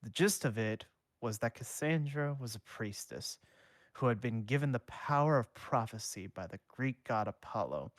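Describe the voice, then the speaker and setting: A man streaming a reading of fan fiction aloud, with a steady read that has small slips and restarts. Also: mid-range voice, no room sound. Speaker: fanfic reader and streamer.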